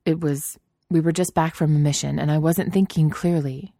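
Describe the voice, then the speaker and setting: A flustered young man stumbles over his words in guilt, as a woman reads him in her own voice. The tone is low and dark, close and dry with no echo. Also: steady tone, moderate pace. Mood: flustered, guilty.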